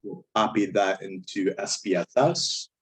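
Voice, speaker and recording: A man with a hoarse, failing voice who often clears his throat, walking step by step through a statistics software tutorial over a screen recording. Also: low-pitched, unhurried, poor audio. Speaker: statistics instructor. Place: indoors at a computer, voice-over on screen capture.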